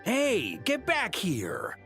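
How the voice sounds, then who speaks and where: An actor playing a middle-aged senior knight commander in a fantasy game, hearty and exasperated, with a blustery, chiding manner. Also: male voice, high voice, wildly swooping pitch, drawn-out words, sharp tone, soft background music, heavily acted.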